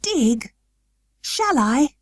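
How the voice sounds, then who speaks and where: A woman voicing a frail, imperious, excitable young upper-class boy in an audiobook, well-spoken and emphatic. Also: high voice, drawn-out words, no room sound, studio quality, voice pushed up, exaggerated voice. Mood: wondering, hesitant. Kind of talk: audiobook character acting.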